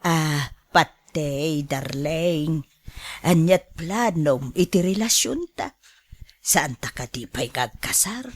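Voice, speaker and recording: A woman's voice: low voice, expressive, slow pace, heavily acted. A woman with an Ilocano accent playing an older, put-upon sweetheart in a radio drama, drawing out her exclamations and complaints. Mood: coy, teasing.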